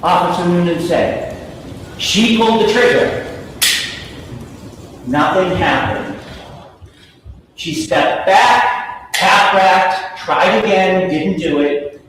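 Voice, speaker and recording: A man with a fairly high voice delivers a prosecutor's closing argument. He speaks slowly and emphatically in short, declarative phrases. The recording is heavily reverberant, caught at a distance in a large courtroom. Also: animated, fairly bright tone. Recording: echoey courtroom from far off, faint noise.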